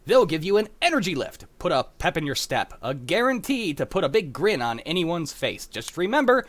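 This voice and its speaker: Man doing a chipper high-pitched radio candy pitchman. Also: big pitch swings, moderate pace, fairly bright tone. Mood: chipper salesmanship. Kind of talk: 1940s radio commercial acting.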